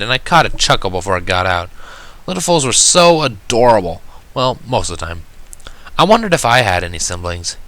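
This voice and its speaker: A man's voice: deep voice, sharp tone. A man reading a story aloud as a first-person narrator. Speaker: fan fiction reader.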